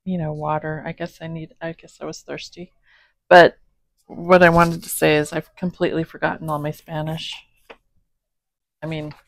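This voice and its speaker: A low-voiced woman talks slowly and casually at home, recorded off-camera on a phone. She breaks into apologetic asides before settling into a steady reading of a psalm. The sound is close and dry, with no room echo. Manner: slow, chatty, apologetic asides. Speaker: host reading scripture. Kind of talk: casual chat and Bible reading aloud. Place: home, indoors, voice behind camera filming a cat.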